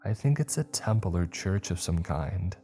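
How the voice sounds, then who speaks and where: Man acting weary low-voiced log keeper. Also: animated, dark tone, faint music behind. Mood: subdued, uneasy. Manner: slow, halting, subdued. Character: weary man recording a grim log while trapped underground.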